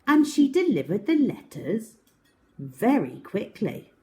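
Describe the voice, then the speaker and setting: A woman reading a picture book to young children as a storyteller, with dramatic pauses and emphasis, speaking directly to her listeners. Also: fairly high pitch, big pitch swings, a little mellow.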